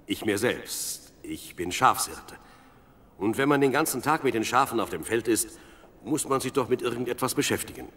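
An actor playing a modest young shepherd musician, polite and earnest, in a Bible audio drama. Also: male voice, mid-range voice, animated, moderate pace, fairly bright tone. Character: young shepherd harpist, modest, eager to please.

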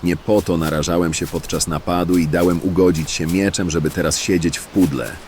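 A man's voice: deep voice, fairly even intonation, fairly quick, a little mellow, slight background noise, lightly acted. A man playing a wary, grumbling outlaw in a fantasy audio drama. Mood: cautious. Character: wary, grumbling male bandit, anxious about capture. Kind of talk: fantasy radio drama acting.

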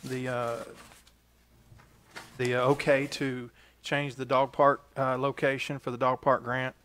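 A halting, mid-pitched man you'd hear from the side of a council dais, faint hiss around him. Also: flat delivery, a bit bright. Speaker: vice mayor, council member. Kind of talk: formal meeting talk. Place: council chamber.

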